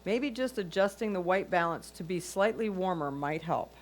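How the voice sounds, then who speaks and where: A woman's voice: fairly low pitch, lively intonation, normal speed, slight background noise. A woman reading out a judge's written comments. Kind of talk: reading written critique aloud.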